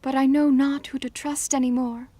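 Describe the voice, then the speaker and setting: A woman playing a timid young maiden in an audiobook fairy tale, speaking softly and hesitantly with polite, formal wording. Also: sits high, flat delivery, moderate pace, no room sound. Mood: timid, hopeful. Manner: soft, hesitant, formal storybook phrasing. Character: young frail maiden, timid, polite, wary but hopeful. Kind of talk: dramatised audiobook acting.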